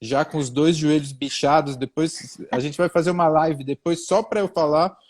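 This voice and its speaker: A man's voice: a little high, fairly even intonation, moderate pace, a little mellow. A middle-aged Brazilian man chatting as a comedian guest on a live stream, hesitant and repeating words, with wry self-mocking jokes. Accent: Brazilian Portuguese. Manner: slips into pompous old-fashioned wording. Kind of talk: casual interview chat on a live stream.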